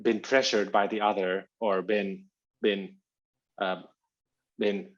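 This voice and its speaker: A man from Finland, his Albanian, speaking as an author guest in a thoughtful, halting interview conversation over a video call. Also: lowish voice, little pitch movement, lo-fi sound. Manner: halting, reflective, searching for words.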